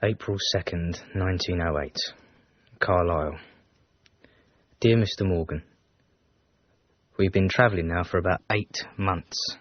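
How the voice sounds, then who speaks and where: An actor playing a dutiful, disapproving Edwardian manservant in a radio drama, formal and dry. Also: male voice, low voice, measured pace, long pauses, muffled recording. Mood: weary, formal. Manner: formal, dry, deferential but reproachful. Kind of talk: BBC radio period drama, letter narration.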